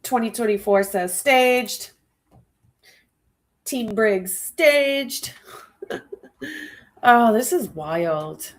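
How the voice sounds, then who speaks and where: Slow-talking, stuffy-nosed woman. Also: a little high, lively intonation. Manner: musing aloud, addressing viewers directly. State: stuffy nose. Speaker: true crime livestream host. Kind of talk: casual live-stream commentary.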